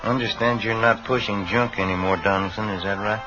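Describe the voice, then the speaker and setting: An actor playing an easygoing, plainspoken police detective in a 1950s radio crime drama, with a terse, folksy, low-key manner. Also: male voice, fairly even intonation, brisk, natural voice. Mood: questioning. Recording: dull vintage radio recording, music behind.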